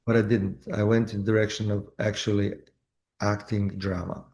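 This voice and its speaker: A man with a Croatian accent, an actor telling his story in a casual interview, halting with many fillers and restarts. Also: on the low side, level tone, darkish tone. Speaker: actor guest.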